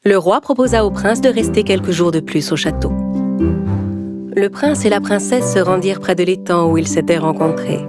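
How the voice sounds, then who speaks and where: A woman's voice: lowish voice, moderate pace. A woman narrating a scripted fairy tale for children. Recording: music under the voice.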